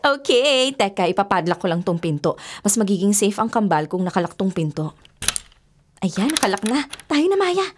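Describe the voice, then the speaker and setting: A woman's voice: mid-range voice, big pitch swings, moderate pace, background noise, lightly acted. A woman with a Filipino accent playing a defiant, argumentative young mother hooked on gambling in a radio drama. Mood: breezy. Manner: argumentative, emphatic.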